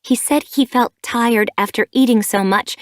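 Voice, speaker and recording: Woman doing a young mother she-cat, high, loud, bristling, accusing, storybook reading, dry sound. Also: normal speed, no room sound, close to an everyday voice. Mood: angry, accusing.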